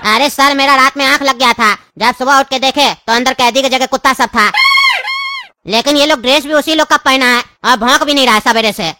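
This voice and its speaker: A performer voicing an earnest, wordy, excitable cartoon character in the manner of a comic news report. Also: sits high, a little fast, shouting, background noise, lightly acted. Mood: apologetic.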